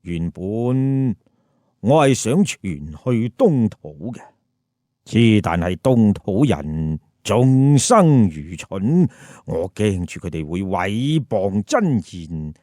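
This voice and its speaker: A man voicing a serene, grave Buddha in measured, solemn pronouncements for a story. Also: lowish voice, big pitch swings, warm tone, dry recording, clean studio sound, pitched below the performer's own voice. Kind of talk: storytelling character voice.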